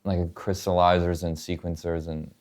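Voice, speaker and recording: A man's voice: low-pitched, level tone, normal speed, a little mellow. A man chatting casually and demonstrating gear in a laid-back, colloquial way in a home studio. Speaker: guitarist and producer, interview guest.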